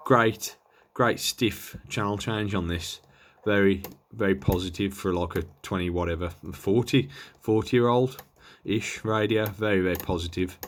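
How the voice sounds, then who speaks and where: A man's voice: low voice, slight background noise. A man giving a casual hands-on gear demonstration in a hesitant, offhand, understated way. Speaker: presenter of a radio gear review.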